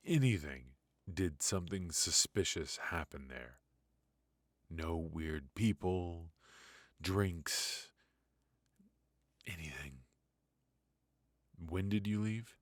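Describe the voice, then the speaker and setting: Male actor as noir mafia boss, extremely low voice, unhurried murmur, coaxing with quiet menace, possessive, close and dry. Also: lively intonation, dry recording, heavily acted. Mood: probing, concerned. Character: brilliant, ruthless male mafia boss, possessive yet protective. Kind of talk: noir ASMR roleplay, intimate dramatic monologue. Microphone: close studio mic, dry.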